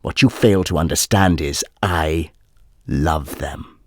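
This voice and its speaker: A man playing a suave, world-weary, cynical ruler in a radio drama, speaking deliberately and condescendingly with theatrical pauses. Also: rumbling low, a little mellow, dry recording, clean studio sound, full character voice. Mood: grave, confiding.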